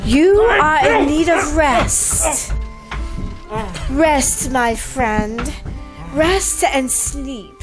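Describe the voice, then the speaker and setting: An actress playing an ominous, imperious fortune-teller in an old radio drama, solemn and portentous, with measured pauses. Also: female voice, sits high, loud music behind the voice.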